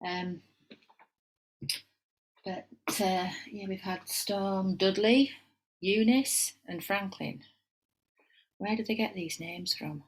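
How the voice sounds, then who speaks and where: A woman's voice: mid-range voice, fairly even intonation, takes it slowly, long pauses, poor audio. A middle-aged woman with a Yorkshire accent, giving a casual tarot reading at home and wandering into chatty asides. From England. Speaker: tarot reader.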